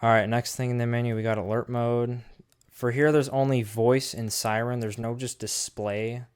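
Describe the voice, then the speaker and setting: A man's voice: mid-range voice, fairly even intonation, moderate pace, smooth. A man giving a casual, unscripted hands-on product review, thinking aloud as he goes in an informal way.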